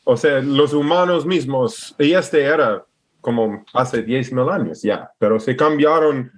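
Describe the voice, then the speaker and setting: Slow, halting American man speaking Spanish. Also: medium pitch. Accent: American English. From Alaska, USA. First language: English. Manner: hesitant, searching for words with many restarts. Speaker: podcast guest. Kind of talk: casual interview conversation.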